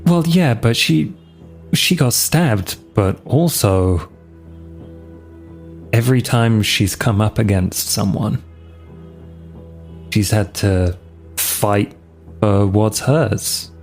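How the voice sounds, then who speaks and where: Slow-talking man playing his character. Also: on the low side, faint music behind. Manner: hesitant, casual, with fillers. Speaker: player. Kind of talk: in-character roleplay dialogue.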